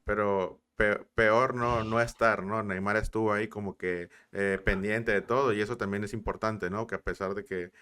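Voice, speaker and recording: Low, bright, clear-toned male host, hesitant, filler-heavy questions. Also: steady tone, normal speed, few pauses. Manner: hesitant, many fillers and restarts. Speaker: interviewer host. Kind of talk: interview questions.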